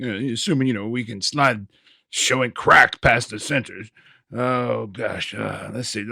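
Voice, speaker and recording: Man playing a raspy, flustered studio boss. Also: on the high side, pushed and bright, dry recording, heavily acted. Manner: stammering, hesitant muttering. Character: flustered, anxious male film studio boss under pressure. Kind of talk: comedic amateur radio play acting.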